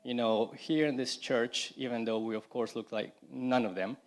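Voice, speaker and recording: A man from Colombia tells his personal faith story to a church congregation. His voice sits at an ordinary middle pitch, and he speaks haltingly, often restarting phrases and filling gaps with "you know" and "um". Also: fairly even intonation. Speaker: congregation member giving testimony. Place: church service.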